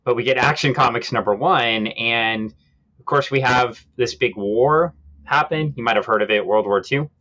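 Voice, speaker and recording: A man's voice: mid-range voice, moderate pace, pushed and bright. Man podcasting, slightly dull audio. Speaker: podcast co-host. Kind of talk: casual explanatory chat about comics history.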